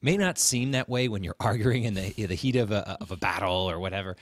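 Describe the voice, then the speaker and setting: A man's voice: fairly low pitch, animated, quick pace, dry recording. A man co-hosting a podcast, explaining things in a casual, earnest way and repeating words as he makes his point. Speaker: podcast co-host.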